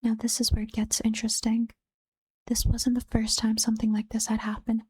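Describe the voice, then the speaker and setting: A woman softly whispering ghost stories in a soft-spoken ASMR reading, close to the mic. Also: on the high side, completely flat delivery, brisk, breathy edge, dry recording.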